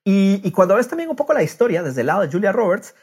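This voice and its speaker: Un hombre que conduce un podcast de charla informal, contando las cosas con animación y entusiasmo. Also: male voice, high-pitched, big pitch swings, fast talker, darkish tone, slightly rough. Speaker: podcast host. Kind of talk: casual podcast interview.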